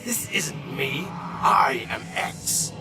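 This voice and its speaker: A man's voice: mid-range voice, wildly swooping pitch, very slow, vocal fry, heavily acted. A man playing a tormented, menacing robot warlord in a radio drama, with strained, dramatic declarations, heard from a distance under music. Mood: confused, menacing. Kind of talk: animated-series radio drama acting.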